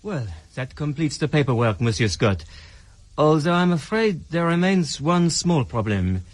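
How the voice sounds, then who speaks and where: An actor in a radio drama playing a courteous, fussy Swiss bank clerk, formal and unhurried. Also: male voice, on the high side, sing-song. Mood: businesslike.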